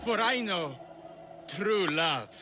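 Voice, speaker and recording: An actor playing a lovesick, drunken stage performer in a video game, melodramatic and pleading with a slurred drawl. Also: male voice, high voice, lively intonation, takes it slowly, over music. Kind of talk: video game cutscene acting.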